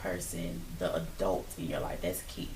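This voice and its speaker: A woman's voice: a bass voice, moderate pace. A middle-aged woman school counselor talking expressively as a guest in a small studio. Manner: lively, expressive. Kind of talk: casual talk show chat. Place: small studio lounge, indoors. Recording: roomy, a bit distant.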